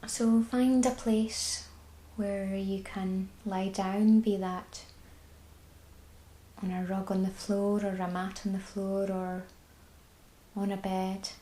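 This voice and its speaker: Scottish lady leading a body scan, mellow voice, really unhurried. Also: mid-range voice, flat delivery, echoey room, low-quality recording. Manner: slow, soft and soothing. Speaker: mindfulness guide. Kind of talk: guided body scan meditation.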